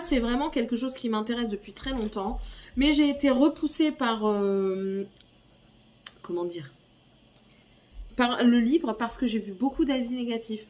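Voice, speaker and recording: Hoarse woman with a cold, slightly off-mic. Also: mid-range voice, darkish tone, far from the mic. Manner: chatty, apologetic, coughing now and then. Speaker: book YouTuber. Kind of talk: casual reading-list chat, reading blurbs aloud. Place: home.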